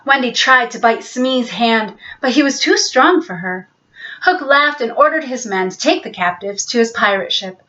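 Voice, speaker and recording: A woman storyteller giving an expressive, scripted narration of a children's bedtime story. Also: a little high, moderate pace, highs cut off.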